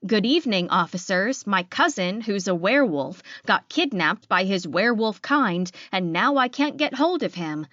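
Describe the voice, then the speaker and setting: A woman's voice: medium pitch, animated, normal speed, hardly any pauses, a bit bright, dry recording, dull audio, natural voice. A woman voicing a scared young woman putting on a brave front in an audiobook, anxious and pleading. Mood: mock reporting.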